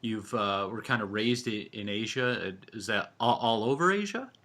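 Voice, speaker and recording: A man with a fairly low voice hosts a relaxed interview show. He talks casually, circling and restarting as he feels his way into each question. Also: moderate pace. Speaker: podcast host.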